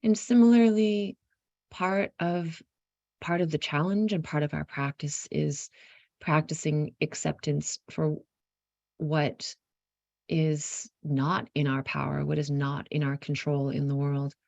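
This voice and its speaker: A woman leading a guided meditation, giving gentle instructions in an unhurried, softly inviting way with pauses mid-phrase. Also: low voice, level tone, creaky, dry recording, muffled recording.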